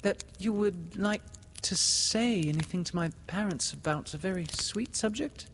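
A man voicing a gentle, earnest young girl in a storybook, with a hesitant, pleading manner. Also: high-pitched, huge pitch swings, normal speed, slight background noise, voice pushed up. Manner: hesitant, faltering. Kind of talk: storybook character voice.

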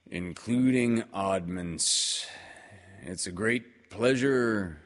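A man's voice: low-pitched, vocal fry, exaggerated voice. A man doing a gloomy, pompous old donkey. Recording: long hall reverb.

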